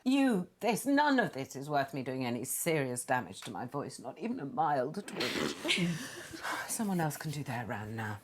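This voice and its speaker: Pompous middle-aged diva mum, grand and bossy, voice swoops all over, film drama. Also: female voice, sits low, sing-song, a little slow, a little hiss or hum. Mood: pompous, strained. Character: theatrical, imperious mother, a self-important amateur singer. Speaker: actor in an interactive murder mystery film.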